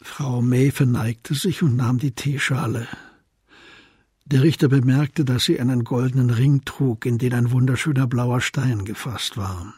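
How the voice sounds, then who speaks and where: An actor as the narrator of a radio crime drama, reading literary description in a measured, composed manner. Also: male voice, mid-range voice, fairly even intonation, darkish tone, breathy edge, no echo, clean studio sound. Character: composed literary storyteller of a detective tale.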